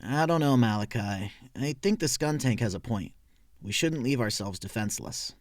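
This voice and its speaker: A man's voice: medium pitch, expressive, moderate pace, no echo, close to an everyday voice. A man playing an eager, cheeky young Pokemon explorer in a comic dub, casual and gung-ho. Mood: firm, casual. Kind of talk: animated comic dub acting.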